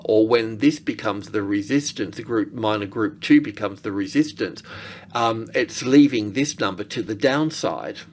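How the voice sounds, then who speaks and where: A man giving informal technical commentary on charts as an analyst, thinking aloud in a halting way. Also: fairly low pitch. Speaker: market analyst presenter.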